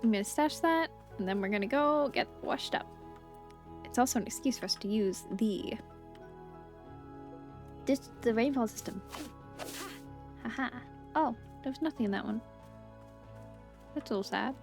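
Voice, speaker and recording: A woman giving casual, playful gameplay commentary as a video host, chatty and quick to exclaim. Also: a little high, soft background music.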